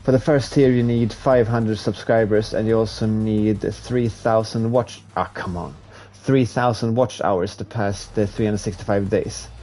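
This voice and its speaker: A man's voice: on the low side, unhurried, hardly any pauses, a little hiss or hum. A young man from Sweden with a Swedish accent, chatting casually with viewers as a streamer while playing a racing game. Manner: relaxed, rambling, with restarts while playing. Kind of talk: casual chat while gaming live.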